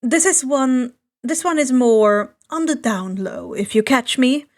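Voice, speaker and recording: A woman's voice: sits high, unhurried. A woman voicing a friendly teenage boy in a story, earnest and lightly playful. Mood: casual.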